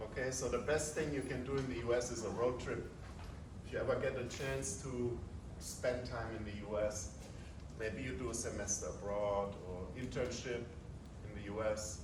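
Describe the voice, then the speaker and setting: A man hosts an event and chats loosely with the room, often tossing questions back to his listeners. His mid-pitched voice comes from some distance and echoes around a lecture room, with noise in the background. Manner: chatty, keeps asking "right?". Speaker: host introducing the speaker. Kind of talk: event introduction.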